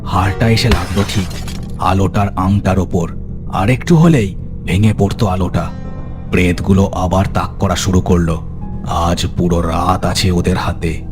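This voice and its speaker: A man with a low, deep voice narrates a scripted ghost story in a dramatic storytelling style. Music plays clearly behind him. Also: unhurried.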